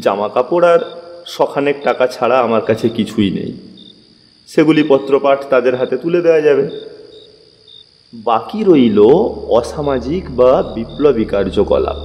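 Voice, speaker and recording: Male audio-story narrator, mid-pitched and dark-toned, expressive and measured, reverberant, with a faint music bed. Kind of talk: dramatized first-person story narration.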